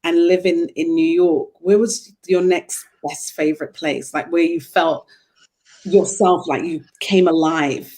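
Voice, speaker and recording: Effusive middle-aged American woman host on a video call, warm and expressive talk. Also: lowish voice, measured pace. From United States. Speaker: interview host. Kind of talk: casual interview chat. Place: home room, on a video call.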